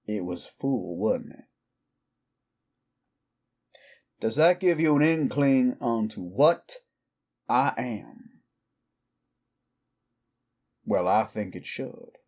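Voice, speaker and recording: Slow, low-voiced cowboy outlaw, acted by a man. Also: darkish tone. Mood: goading, intense. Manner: slow, deliberate, goading, rhetorical questions. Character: cowboy outlaw werewolf, taunting, brooding, defiant. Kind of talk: intimate roleplay audio drama acting.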